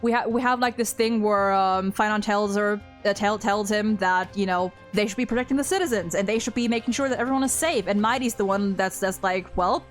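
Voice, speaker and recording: A woman's voice: mid-range voice, a little fast, hardly any pauses. A young woman in casual fan discussion of a game story, talking in an enthusiastic, informal way peppered with "like". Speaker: video game streamer and discussion host. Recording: music playing behind the voice. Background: music.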